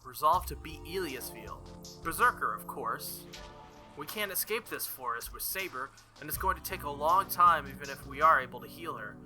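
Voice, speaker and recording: A man's voice: fairly high pitch, expressive, moderate pace, music in the background, lightly acted. A man reading the lines of a confident young woman mage from a visual novel, explaining matter-of-factly.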